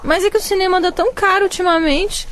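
An actress playing a young adult daughter in a radio drama, breezy and casual. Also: female voice, very high voice, fairly even intonation, fast, a little hiss or hum.

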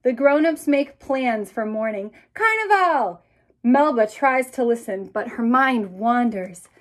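A woman's voice: on the high side, animated, takes it slowly. A woman in her thirties, a children's author, reading picture books aloud expressively and speaking directly to young listeners. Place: small indoor room with bookshelves.

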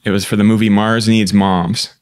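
Low-voiced man in his thirties. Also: flat delivery, moderate pace. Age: 30s. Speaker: guest filmmaker. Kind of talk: casual interview chat.

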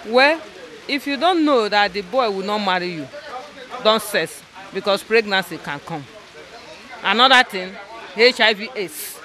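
A young woman answering a street interview outdoors into a handheld mic, earnest and halting, repeating her points. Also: medium pitch, lively intonation, pushed and bright, low-quality recording. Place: outdoors on a roadside. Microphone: handheld mic held by interviewer.

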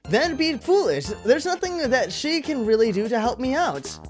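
A man's voice: very high-pitched, very animated, moderate pace, faint music behind. A man voicing a gleefully murderous, scheming yandere schoolgirl with dramatic delivery in a visual novel read-aloud. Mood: cold, menacing.

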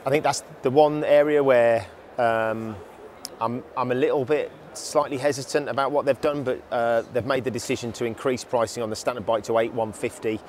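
A man in his thirties talks bikes in a relaxed, enthusiastic way, often restarting his phrases. His voice sits at an ordinary pitch for a man. A faint hum of the exhibition hall sits behind him. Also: normal speed. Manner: chatty, enthusiastic, frequent restarts. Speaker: motorcycle show presenter. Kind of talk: casual review chat. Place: indoor motorcycle exhibition hall.

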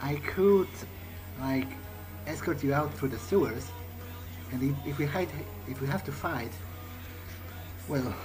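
Music plays clearly behind a man with a mid-pitched voice. He is role-playing through a video game, speaking in character. His delivery is conversational, halting and hesitant. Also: animated, poor audio. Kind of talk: in-character game playthrough commentary.

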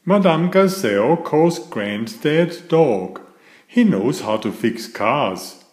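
A man reading a picture book aloud to young children in a deliberate, emphatic storytelling style. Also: on the high side, sing-song, a little mellow, echoey room.

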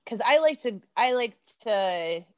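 A woman's voice: fairly high pitch, measured pace, clean tone, no echo. A woman with an American accent, a podcast guest chatting casually and laughing often as she tells stories. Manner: laughs often, animated storytelling. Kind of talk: casual chat.

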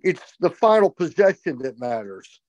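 An older man co-hosting a postgame lacrosse show over a video call, talking with emphatic, opinionated excitement. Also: fairly high pitch, normal speed, no room sound, highs cut off. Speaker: co-host, college lacrosse analyst. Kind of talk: excited postgame sports talk. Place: small room indoors. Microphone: video call webcam.

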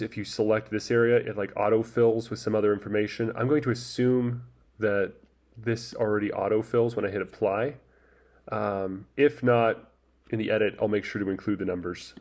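Male tutorial presenter, lowish voice, easygoing and hesitant delivery. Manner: relaxed, hesitant, many fillers and restarts. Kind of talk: casual screen-recorded tech tutorial. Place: voice-over over screen recording.

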